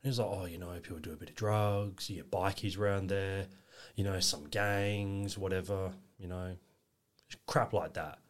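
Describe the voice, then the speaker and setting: A man's voice: low-pitched, steady tone, normal speed. An English-born man, chatting easily as a podcast guest, rambling with lots of fillers. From England. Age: 20s. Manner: easygoing, rambling, lots of "you know". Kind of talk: casual interview chat.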